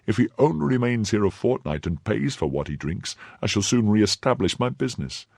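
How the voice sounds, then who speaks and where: A man's voice: on the low side, big pitch swings, brisk, gravelly, no room sound, clean studio sound, pitched above the performer's own voice, full character voice. A man voicing a poor, fearful innkeeper who pleads and fawns in a raised voice. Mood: pleading.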